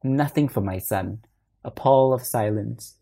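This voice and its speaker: A woman reading a literary short story aloud as narrator, in a measured and expressive manner. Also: a bass voice, gravelly.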